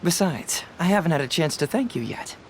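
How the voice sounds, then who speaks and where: A man voicing a young, sharp-witted detective in a game, playful and self-assured with light laughs. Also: a little high, lively intonation, brisk, slight background noise, natural voice. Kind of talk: game voice acting.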